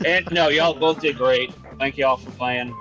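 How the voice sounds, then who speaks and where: A man's voice: medium pitch, sharp tone, dull audio. Guy hosting a game stream, jokey, laughs a lot, faint music behind. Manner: joking, quick to laugh. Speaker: game show host.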